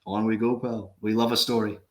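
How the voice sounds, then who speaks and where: A man's voice: fairly low pitch, level tone, fairly quick. A man hosting a podcast interview in a chummy, upbeat way, hyping up his guest. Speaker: podcast host.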